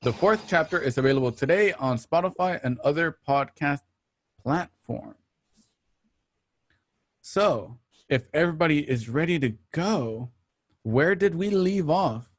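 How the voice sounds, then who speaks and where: A man's voice: on the high side, animated, measured pace, dry recording, studio quality. A man hosting a show, delivering a scripted introduction in a presenterly, announcing manner. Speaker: show host. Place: at home.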